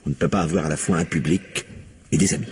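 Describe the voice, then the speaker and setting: An actor playing a hard-nosed, money-minded film producer in a French radio crime drama, blunt and self-important. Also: male voice, rumbling low, steady tone, fast, darkish tone, breathy edge, full character voice. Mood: cool, dismissive.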